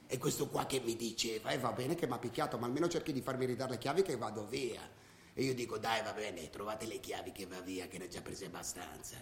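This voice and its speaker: An actor playing a boastful, hot-headed football ultra telling of his old brawls in a colloquial radio drama monologue, swinging from loud outbursts to low asides. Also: brisk, close to an everyday voice. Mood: heated storytelling. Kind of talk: dramatised first-person monologue, colloquial storytelling. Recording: roomy radio drama recording.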